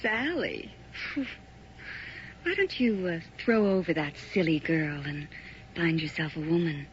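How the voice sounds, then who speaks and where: A sultry, teasing mystery caller. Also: female voice, deep voice, expressive. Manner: coy, teasing, drawn-out phrasing. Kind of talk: 1980s radio drama acting. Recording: muffled old radio recording with hiss.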